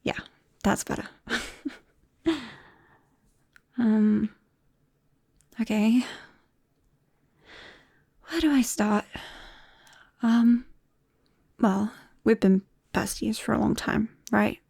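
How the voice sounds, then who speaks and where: A woman acts out a nervous, affectionate young British woman in an intimate ASMR roleplay. Her voice is soft and mellow with a creaky edge, and she speaks hesitantly. The sound is very close and dry. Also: a little high, steady tone, very slow, long pauses, dry recording, exaggerated voice. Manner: hesitant, soft-spoken, breaking into whispers and small nervous laughs. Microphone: close-up microphone, dry.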